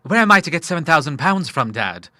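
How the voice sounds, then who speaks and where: A man's voice: fairly high pitch, very animated, quick pace, bright, forceful tone, no room sound, studio quality, voice pushed up, full character voice. A man voicing an earnest, honourable grown son in an audiobook, speaking formally and with emphasis. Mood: earnest, worried. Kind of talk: audiobook character voice.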